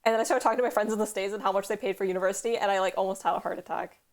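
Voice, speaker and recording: A young woman from Canada hosting a casual stream chat, rambling quickly with lots of "like" and casual swearing. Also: medium pitch, fairly even intonation, vocal fry. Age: young adult. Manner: fast, rambling, heavy use of "like", swears. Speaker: streamer host.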